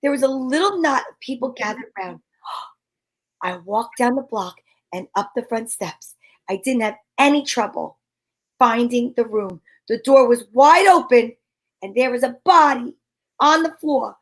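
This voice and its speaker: Woman, high voice, wisecracking sleuth, old-time radio play, brisk first-person narration. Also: expressive, a bit bright. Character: wisecracking female amateur sleuth.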